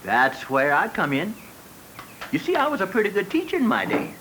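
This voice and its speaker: An older American retired teacher in a 1980s educational film, kindly and patient as he explains. His pitch swings widely and his tone is bright and forceful, over a faint hiss of film noise. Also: wildly swooping pitch, moderate pace, heavily acted. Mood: earnest, encouraging. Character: kindly, encouraging retired schoolteacher, older man, neighborly mentor. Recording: faintly noisy 1980s 16mm film soundtrack.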